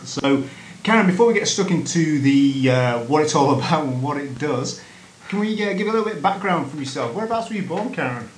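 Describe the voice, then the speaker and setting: A man's voice: fairly high pitch, expressive, a little fast. Man, casual interviewer, fillers, echoey room, faint background noise. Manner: chatty, repeats words, many fillers. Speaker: podcast host.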